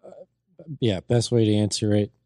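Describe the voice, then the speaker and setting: A man's voice: on the low side, flat delivery, moderate pace, dark tone, no echo, clean studio sound. A man in his twenties chatting on a talk show, hesitant and full of fillers. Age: 20s. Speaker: co-host. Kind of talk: casual talk show chat.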